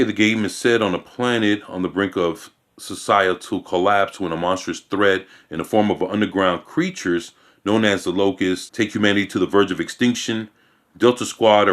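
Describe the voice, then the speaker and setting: A man's voice: deep voice, fairly bright tone. A man giving a casual commentary. He reads a news piece aloud and breaks off into blunt, profane remarks, often restarting his sentences. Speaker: video commentator. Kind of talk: casual reaction rant reading news aloud.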